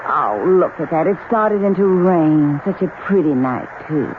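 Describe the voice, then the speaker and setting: An actress playing a coquettish, manipulative young Southern belle in an old radio drama, cooing and wheedling with an American Southern accent. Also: female voice, deep voice, lively intonation, measured pace. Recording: tinny, noisy vintage radio recording.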